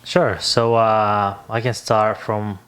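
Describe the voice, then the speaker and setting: A man's voice: fairly low pitch, flat delivery, takes it slowly, fairly bright tone. A young man from Libya, an English speaker, telling his story as a podcast guest and searching for his words. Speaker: podcast guest, business owner. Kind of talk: casual interview chat.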